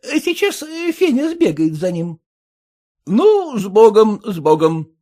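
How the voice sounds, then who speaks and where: A doting old aunt fusses over her nephew in a storybook reading, repeating her words in a very high, lively voice, as a male narrator puts her on. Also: slow pace, exaggerated voice. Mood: fussing. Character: elderly aunt, fussy and doting.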